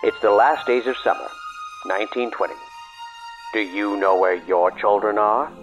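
An actor playing a theatrical announcer narrating a trailer for a spooky period horror drama. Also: male voice, fairly low pitch, fairly even intonation, normal speed, muffled recording, over music, lightly acted. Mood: ominous, wry.

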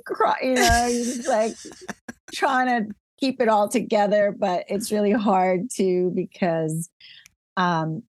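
A woman's voice: medium pitch, steady tone, slow, dry recording. A middle-aged woman from Colombia, a guest in a casual interview, talking in a reflective, emotional way and laughing often.

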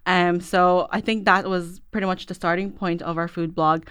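A woman's voice: fairly low pitch, little pitch movement, moderate pace, fairly bright tone, clean tone, no room sound, clean studio sound. A young woman who grew up in Ireland, a food blogger guest, talking in a friendly way and laughing easily. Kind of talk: casual interview chat.

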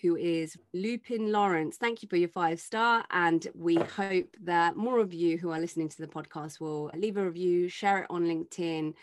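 A woman's voice: fairly low pitch, normal speed, hardly any pauses, no room sound. A woman hosting a podcast, chatty and upbeat as she reads the show notes and banters with her guests. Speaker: podcast host.

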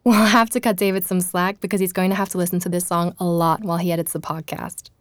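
A woman playing an earnest investigative journalist, narrating a podcast-style audio drama in a measured, inquisitive way. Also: fairly low pitch, little pitch movement, dry recording, lightly acted. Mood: curious. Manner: inquisitive, measured storytelling with rhetorical questions.

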